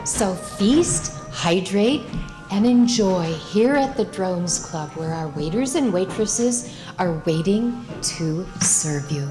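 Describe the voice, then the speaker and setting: Woman as a slow-talking club announcer over music. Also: lowish voice, lively intonation. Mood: cheerful, chummy. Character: club announcer with chatty upper-class verbal tics. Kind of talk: comic intermission announcement.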